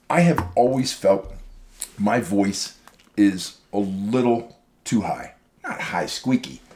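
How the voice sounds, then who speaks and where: A middle-aged man co-hosting a casual chat, talking in an animated, chatty way. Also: on the low side. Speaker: podcast co-host.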